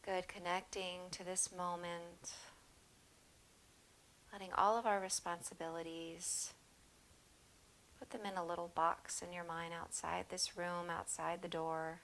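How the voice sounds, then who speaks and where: Yoga teacher, female, lowish voice, unhurried soothing guided instruction. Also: a little hiss or hum, low-quality recording. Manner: slow, soothing, calm guiding cues.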